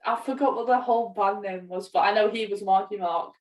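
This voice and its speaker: A woman's voice: medium pitch, brisk. A young woman chatting casually as a podcast co-host. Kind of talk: casual chat. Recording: voice distant from the microphone.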